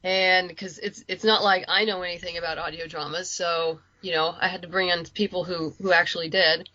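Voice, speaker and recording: A woman's voice: on the low side, steady tone, fairly quick, sharp tone, no room sound. A woman chatting casually as an interview guest, talkative and a little self-deprecating. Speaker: podcast guest, writer and producer. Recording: dull lo-fi voice track.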